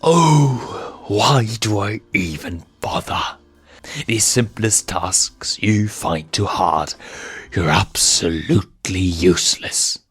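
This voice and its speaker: A man's voice: fairly low pitch, a bit bright, husky, faint music behind, exaggerated voice. A man voicing a menacing, contemptuous villain lion in a storybook, drawing out his theatrical threats. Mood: exasperated, scornful. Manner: slow, drawn-out, theatrical threats.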